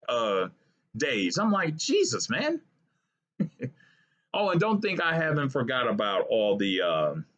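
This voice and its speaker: A man on his own channel who speaks bluntly and swears freely, and who keeps wandering off on tangents. His voice sits a little high for a man. He swings his pitch up and down a lot as he rants. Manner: blunt, profane and animated, with rambling asides. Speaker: vlogger. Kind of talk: casual political rant to camera.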